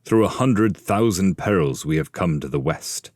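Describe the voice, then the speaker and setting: A man acts the restless spirit of an old seafarer in an epic verse audiobook. The voice is low, proud and grave, declaiming its story. It is recorded dry, without room sound. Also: expressive, moderate pace, a little mellow, dry recording.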